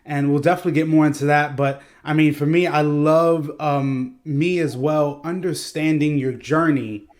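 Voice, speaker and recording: A man's voice: medium pitch, a little mellow. A man hosting an online interview, asking long, effusive, winding questions over a video call. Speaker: interview host.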